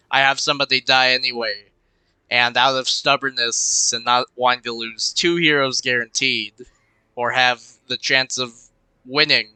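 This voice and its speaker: A young man in a casual gaming commentary, rambling and musing half to himself as he plays. His voice is very bright and clean, though a touch congested. The cheap desk mic sounds dry and close. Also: mid-range voice, smooth, no echo, low-quality recording. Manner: rambling, muttering to himself while thinking. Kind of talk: casual let's-play commentary. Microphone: cheap desk microphone.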